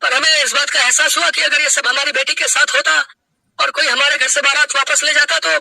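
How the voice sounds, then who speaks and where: A man's voice: extremely high pitch, little pitch movement, brisk, creaky, bright, forceful tone, no room sound, exaggerated voice, rough recording. A man playing a proud, formal father of a groom in a dramatic story. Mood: apologetic.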